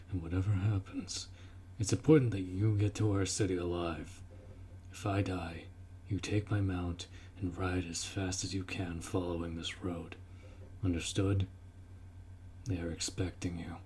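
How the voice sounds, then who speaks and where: A man's voice: deep voice, darkish tone, slight background noise. A man playing a stern, honorable orc warrior commander in a fantasy audio roleplay, speaking in a formal, commanding way. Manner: formal, commanding, measured delivery.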